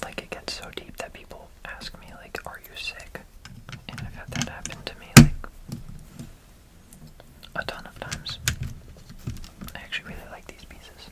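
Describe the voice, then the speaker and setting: A man's voice: moderate pace, pauses often. A man whispering casual, rambling ASMR chatter with many fillers while building bricks, his voice slightly nasal. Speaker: ASMR creator.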